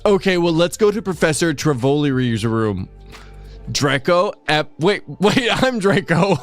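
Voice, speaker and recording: A man co-hosting a livestream, giving a halting mock-dramatic reading of fan fiction and hamming up the character lines. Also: fairly high pitch, big pitch swings, soft background music. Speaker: livestream co-host.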